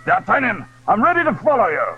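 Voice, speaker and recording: An actor playing a cool, judicious musketeer resigned to danger in an audio drama of a classic adventure novel. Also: male voice, high-pitched, huge pitch swings, fast talker, slightly rough, soft background music, full character voice. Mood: resolute, calm. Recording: dull, lo-fi old audio drama recording.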